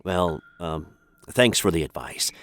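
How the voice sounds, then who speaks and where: A man's voice: deep voice, lively intonation, measured pace, a bit bright. A man playing an exasperated, awkward American captive in a radio sitcom, polite but indignant, with an American accent. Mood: uneasy, reluctant. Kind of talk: comedy radio sitcom acting.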